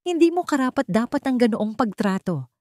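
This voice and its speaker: A woman's voice: fairly high pitch, big pitch swings, a little fast, darkish tone, no room sound, studio quality, voice pushed up. A woman voicing a stern, righteous male chairman with a controlled, commanding manner in a drama story. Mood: solemn, grateful. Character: stern, righteous male company chairman, wealthy, grateful son. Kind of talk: dramatic audio storybook acting.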